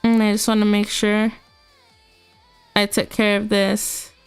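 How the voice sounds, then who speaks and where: A woman's voice: mid-range voice, monotone, a little slow, smooth. A young woman with a congested voice, chatting casually and rambling with lots of fillers as a livestream host. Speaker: VTuber streamer. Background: faint music.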